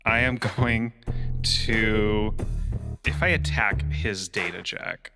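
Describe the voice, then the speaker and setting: A man's voice: on the low side, takes it slowly, over music. A man improvising as a player in a tabletop role-playing game, talking through his moves casually. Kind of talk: improvised actual-play game chat.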